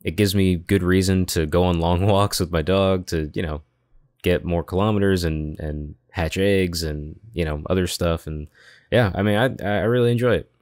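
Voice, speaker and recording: A man with a lowish voice shares opinions as a podcast co-host. He sounds informal and easygoing in casual conversation. The sound is dry, with no room echo. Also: moderate pace, a little mellow.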